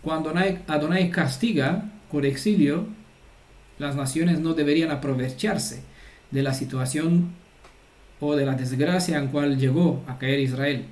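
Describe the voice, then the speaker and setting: A man's voice: a little high, measured pace, a little mellow, echoey room. A man giving an informal, earnest religious teaching and often checking that his listeners follow. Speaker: Torah teacher.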